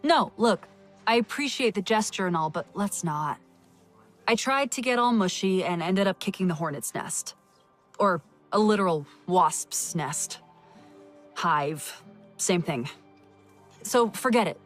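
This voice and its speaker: A woman's voice: medium pitch, animated, measured pace, pauses often, soft background music, natural voice. An actress playing an awkward, lovesick, self-mocking woman in a video game, talking in a casual, sardonic, flustered way. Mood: flustered, rueful. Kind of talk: video game character acting.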